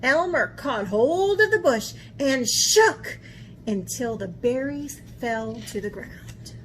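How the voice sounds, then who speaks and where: High-pitched woman reading slowly to children. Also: slight background noise. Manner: animated, asks listeners questions. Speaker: art teacher and host. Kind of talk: children's picture-book read-aloud.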